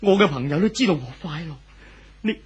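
An actor playing an anxious, clinging older husband in a 1970s radio drama, pleading and insistent, with a Hong Kong Cantonese accent. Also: male voice, a little high, animated, a little fast, slightly rough, lo-fi sound. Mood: stubborn, defensive. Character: anxious older husband clinging desperately to his unfaithful wife.

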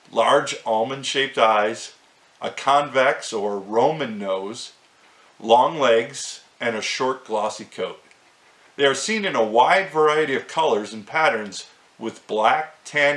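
A middle-aged man presents to camera in a clear, measured tone, like a host of a scripted guide. His voice sits at an ordinary pitch for a man. The room around him adds noticeable echo. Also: fairly bright tone. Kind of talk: scripted farm-animal presenter talk.

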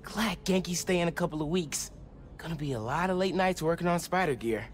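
A man's voice: on the high side, a little fast, faint music behind, close to an everyday voice. A man playing an earnest, upbeat teenage boy superhero with an American accent, thinking aloud in a casual, youthful way in a video game. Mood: casual musing. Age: teens. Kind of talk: video game character acting, casual self-talk.

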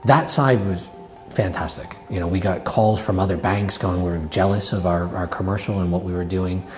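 A man's voice: low voice, fairly even intonation, normal speed, soft background music. A man in his thirties, a bank executive, explaining a project earnestly in a corporate interview indoors. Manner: earnest, explanatory business talk. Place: indoor office with brick wall.

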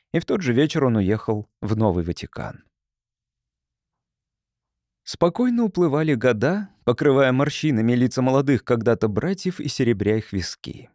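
A man's voice: medium pitch, darkish tone, no room sound, clean studio sound. A man reading literary prose as a scripted audiobook narrator.

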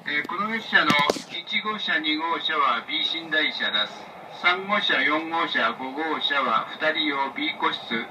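Male train conductor, formal announcement style, slightly high voice, distant loudspeaker, clear train noise behind. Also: expressive, a little slow, few pauses. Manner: formal, polite, reading out stops and times. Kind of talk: onboard train public-address announcement. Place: inside a moving sleeper train, over loudspeaker. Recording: distant, noisy train PA captured in carriage. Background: train rumble and noise.

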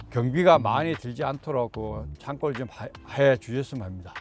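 A man's voice: mid-range voice, a little mellow. A middle-aged man, explaining farming tips to viewers in a polite, rambling, colloquial way. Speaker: video host giving farming tips. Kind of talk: informal explanatory monologue for viewers.

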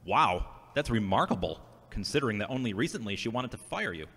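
A man's voice: lowish voice, big pitch swings, a little fast, fairly bright tone, husky, full character voice. A man playing a wry, guarded, reflective character with a dryly formal way of talking in an audio drama. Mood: dry, reserved. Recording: echoey hall-like reverb.

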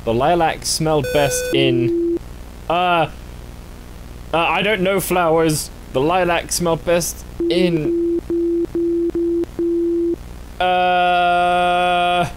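A man's voice: fairly even intonation, extremely slow, pauses often. A young man hosting a gaming video with casual reaction commentary. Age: young adult. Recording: clear rumbling game noise behind. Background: horror game rumble.

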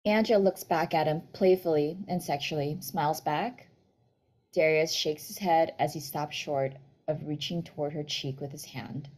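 A young woman with a low-pitched voice narrates a screenplay. She reads stage directions in a scripted table-read style, speaking into a video call microphone indoors. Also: fairly even intonation, normal speed, a little mellow, rough recording.

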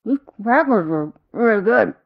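Chatty, animated game character. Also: female voice, mid-range voice, moderate pace, gravelly, lo-fi sound. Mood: chummy. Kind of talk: video game dialogue acting.

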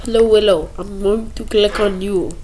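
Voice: medium pitch, steady tone, moderate pace, darkish tone, a little hiss or hum, low-quality recording. A young video creator giving casual gaming commentary, rambling playfully and stumbling over words. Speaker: young video creator showing game cutscenes. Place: indoor room at home. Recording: home screen recording with music behind.

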